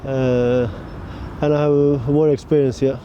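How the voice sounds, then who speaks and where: A man from Sweden with a Swedish accent, a former army ranger answering interview questions in halting English with pauses and fillers. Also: mid-range voice, little pitch movement, lo-fi sound, slight background noise. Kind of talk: on-camera interview. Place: city square outdoors.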